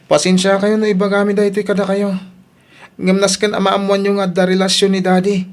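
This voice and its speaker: A man's voice: high voice, monotone, fairly quick, lightly acted. A man acting an earnest, hurt elder brother in an Ilocano audio drama, speaking somberly with an Ilocano accent. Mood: grave, reproachful.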